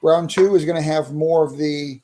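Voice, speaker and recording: A man with a fairly high but very mellow, soft-edged voice. He talks as an interview guest in a casual podcast style, explaining things eagerly and doubling back on his phrases. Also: steady tone, moderate pace. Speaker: interview guest, indie comic creator promoting a fighting game.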